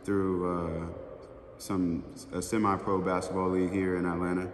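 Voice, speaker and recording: A man's voice: low voice, level tone, moderate pace, a little hiss or hum. A man hosting a casual interview, talking in an earnest, encouraging way. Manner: earnest, encouraging, many affirmations. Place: Atlanta area. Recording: echoey with long reverb.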